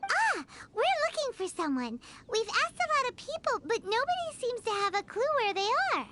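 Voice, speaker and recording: A woman voicing a small, childlike fairy companion in a video game, squeaky, excitable and easily flustered, with dramatic exclamations. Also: moderate pace, noisy background, full character voice. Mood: puzzled. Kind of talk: animated video game voice acting. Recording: loud game music behind the voice.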